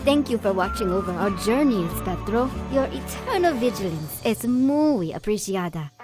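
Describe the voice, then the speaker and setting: A woman's voice: medium pitch, expressive, normal speed, over music, lightly acted. A woman playing a proud, dramatic cartoon singer heroine who sprinkles her speech with Spanish. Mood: defiant. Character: feisty, proud, self-doubting woman singer, defiant underdog. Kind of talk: animated cartoon voice acting.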